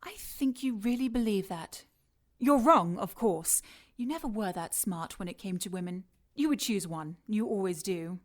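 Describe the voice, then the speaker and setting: An actress playing a condescending scientist villain in a radio drama, clinical and taunting as she addresses her captive in an unhurried way. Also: mid-range voice, natural voice.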